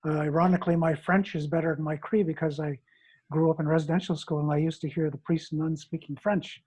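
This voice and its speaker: A male scholar and guest, talking calmly and reflectively in an informal discussion. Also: fairly high pitch, quick pace, slightly rough, low-quality recording.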